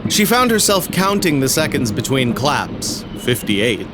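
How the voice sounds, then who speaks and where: A man's voice: mid-range voice, very animated, unhurried, noisy background. A man narrating a scripted audio story.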